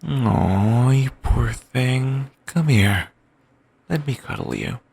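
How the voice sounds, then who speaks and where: A man playing a gravelly, doting, possessive boyfriend. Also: lively intonation, slow pace, exaggerated voice. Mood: tender. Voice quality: soft, soothing, murmured. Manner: coaxing, endlessly affectionate, quietly controlling. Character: doting possessive young male boyfriend, obsessive. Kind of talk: ASMR audio roleplay. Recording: home mic, low-quality.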